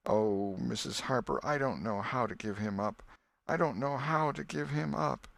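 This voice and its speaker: A man's voice: mid-range voice, normal speed, vocal fry, fairly bright tone, pitched above the performer's own voice. A man voicing an elderly aunt in an audiobook, fond, scolding and grieving, with a folksy, plaintive manner. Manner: folksy, plaintive, repeating herself in lament.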